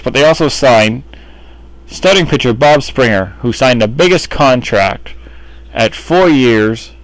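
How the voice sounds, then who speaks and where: A man's voice: medium pitch, low-quality recording. A man delivering baseball team commentary in the manner of an announcer addressing his audience. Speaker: sports newsreel commentator.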